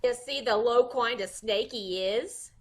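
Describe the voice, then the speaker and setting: Slangy young chorus girl, played by a woman, high voice, slow theatrical delivery, radio mystery comedy. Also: natural voice. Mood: sulky, wry. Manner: slangy, theatrical, wailing protests. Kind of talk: old-time radio comedy-mystery acting.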